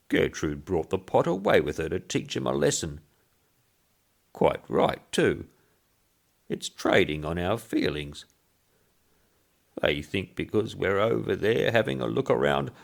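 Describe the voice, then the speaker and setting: A man's voice: low-pitched, vocal fry, pitched above the performer's own voice, exaggerated voice. A man voicing a frail old Englishman in a storybook reading, chatty and rambling, with a piping, quavering voice. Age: older. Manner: rambling, complaining gossip. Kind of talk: short story character voice.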